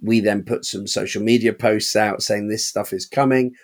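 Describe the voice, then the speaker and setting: Man telling animated auction stories. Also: on the low side, normal speed. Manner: animated storytelling, acts out quoted voices, laughs often. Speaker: podcast co-host, auctioneer. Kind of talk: casual chat.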